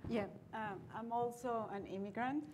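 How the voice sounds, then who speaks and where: A woman's voice: fairly high pitch, steady tone, moderate pace, noisy background. A woman from Mexico speaking as a venture capitalist on a conference panel, chatty and laughing lightly between phrases. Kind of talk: panel discussion. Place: conference stage seated in armchair.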